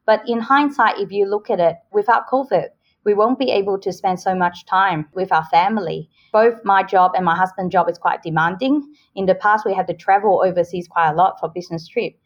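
A woman's voice: mid-range voice, brisk. Woman, 40s, podcast guest, earnest, upbeat, slightly dull recording. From Hong Kong, raised in Australia. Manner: earnest, upbeat, explains with homely examples. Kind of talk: casual interview answers.

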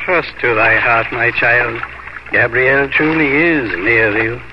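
An actor playing a kindly, steadfast priest in a 1950s radio drama, speaking formally and solemnly to console. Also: male voice, medium pitch, steady tone, slow pace, noisy background, close to an everyday voice. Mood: solemn, reassuring. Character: kindly, steadfast priest guiding a young woman. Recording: dull old radio recording, loud music behind.

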